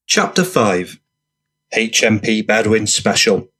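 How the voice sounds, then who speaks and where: Low, husky male narrator. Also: expressive, takes it slowly, fairly bright tone. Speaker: audiobook narrator. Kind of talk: scripted crime fiction narration.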